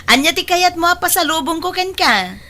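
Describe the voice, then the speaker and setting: A woman's voice: very high voice, expressive, moderate pace, pushed and bright, heavily acted. A woman playing a cheerful, affectionate older sister chatting in an Ilocano radio drama. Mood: cheerful, fond. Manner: bubbly, affectionate.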